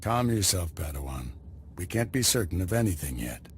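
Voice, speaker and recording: An actor playing an older male Jedi Master in a video game, with a calm, reassuring manner. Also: low voice, normal speed, slight background noise. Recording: game cutscene with music behind.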